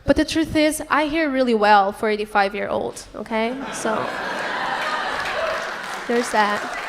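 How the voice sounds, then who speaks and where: A woman's voice: fairly high pitch, a little hiss or hum. A young woman from Portugal, a native Portuguese speaker, doing a crude, brash stand-up set on a club stage into a handheld mic. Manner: brash, crude punchlines with quick crowd asides. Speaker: stand-up comedian. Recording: live club recording with long reverb. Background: audience laughter and chatter.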